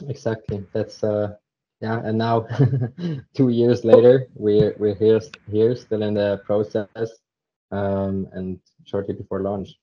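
A man's voice: lowish voice, level tone, slow pace. A young man from Zurich, a podcast guest telling his story casually with many fillers. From Switzerland, Zurich. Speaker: podcast guest, crypto startup co-founder. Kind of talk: casual interview talk. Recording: dull lo-fi remote audio.

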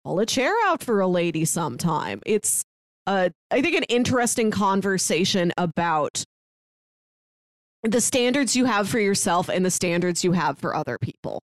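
A woman's voice: on the low side, lively intonation, normal speed, no echo, studio quality. An American woman co-hosting a movie review podcast in a casual, chatty, lightly humorous way. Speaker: podcast co-host.

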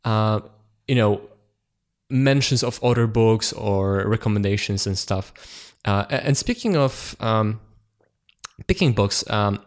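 A man's voice: fairly low pitch, animated, takes it slowly. A man hosting a podcast, talking casually with many fillers and repeated words. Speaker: podcast host. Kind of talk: casual chat.

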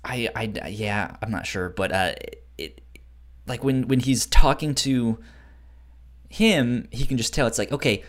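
A man co-hosting a podcast, talking casually and haltingly, often stammering over word starts. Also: mid-range voice. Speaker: podcast co-host. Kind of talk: casual book discussion chat.

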